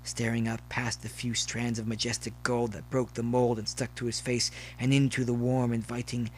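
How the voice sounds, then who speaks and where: A man's voice: medium pitch, monotone, normal speed, low-quality recording. A man reading scripted story narration as the narrator. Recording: faint noise behind the voice.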